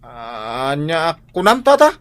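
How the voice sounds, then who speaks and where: A man with an Ilocano Filipino accent playing an excitable, defensive husband in a comedic radio drama. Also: fairly high pitch, slow, full character voice. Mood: indignant. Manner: blustering, exclaiming. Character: excitable, defensive husband, self-proud fence builder.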